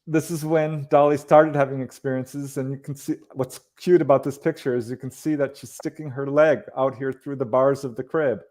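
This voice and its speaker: A man's voice: medium pitch, fairly even intonation, normal speed, few pauses, a little mellow. A man co-hosting a video call, walking through slides with easy laughs and clarifying questions. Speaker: co-host.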